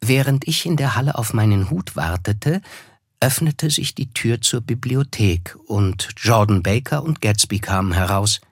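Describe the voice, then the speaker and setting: An actor playing a reflective, reserved young man who narrates his past in measured literary German for a radio play. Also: on the low side, animated, husky, dry recording, studio quality, heavily acted.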